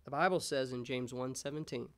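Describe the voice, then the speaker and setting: An American man in his thirties preaching a sermon as a pastor in a measured, pausing way. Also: mid-range voice, steady tone, a bit bright. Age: 30s. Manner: measured, pausing, rhetorical questions. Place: church sanctuary, indoors. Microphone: gooseneck pulpit microphone.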